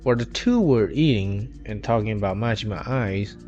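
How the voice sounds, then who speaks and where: A man's voice: fairly low pitch, lively intonation, normal speed, darkish tone. A man reading a scripted retrospective of a game story, in English with non-native grammar. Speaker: video essay narrator. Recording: dull lo-fi mic, music behind.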